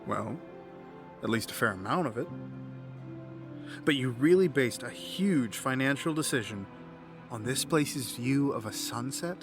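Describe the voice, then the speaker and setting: An actor playing a wealthy, cautious businessman in an audio drama, measured and gently formal, doubtful but fond. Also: medium pitch, animated, over music. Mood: skeptical.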